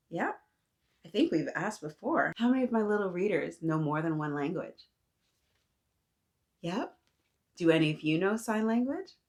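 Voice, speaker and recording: A woman's voice: mid-range voice, creaky, darkish tone. A woman hosting a picture book read-aloud for young children, encouraging and sing-song, often putting questions to her listeners.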